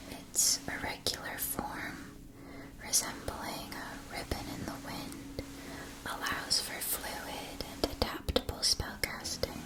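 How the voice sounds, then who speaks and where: Woman playing a softly whispering, gentle witch-school wand seller. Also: medium pitch, natural voice, poor audio. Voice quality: breathy whisper. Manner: slow, soothing, deliberate, with hushed repetitions. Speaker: ASMR performer. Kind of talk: whispered ASMR roleplay, scripted.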